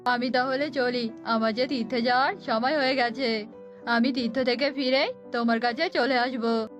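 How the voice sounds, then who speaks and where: A woman's voice: fairly high pitch, fairly even intonation, normal speed, soft background music, close to an everyday voice. A woman voicing a stern, commanding tantric mystic in a children's cartoon. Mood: calm. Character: stern tantric holy mystic who grants and punishes.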